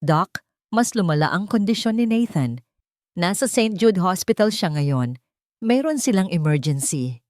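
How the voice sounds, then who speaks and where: A woman's voice: mid-range voice, animated, measured pace, a little mellow, dry recording, clean studio sound, lightly acted. Woman voicing a formal male investigator giving reports. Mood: urgent. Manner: formal, deferential. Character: businesslike male investigator reporting to his client.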